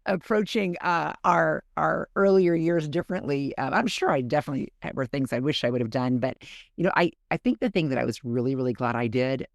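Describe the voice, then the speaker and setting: A woman's voice: low voice, brisk, gravelly, dry recording, clean studio sound. A woman and mother co-hosting a podcast in casual chat, frank and blunt, laughing easily. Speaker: podcast co-host.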